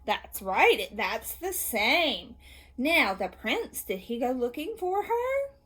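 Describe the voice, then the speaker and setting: High-pitched, animated woman teaching young children. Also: takes it slowly, slightly rough. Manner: encouraging, praising, asks questions and answers them. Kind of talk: read-aloud and question-and-answer lesson for kids.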